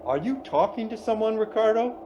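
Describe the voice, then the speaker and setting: A man acts out a male traveller stranded in a snowstorm, in a naturalistic radio play. His pitch swings widely, and he is anxious yet wry and self-deprecating. There is faint noise of the storm around him. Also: animated, fairly quick, warm tone, highs cut off. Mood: alarmed, shouting.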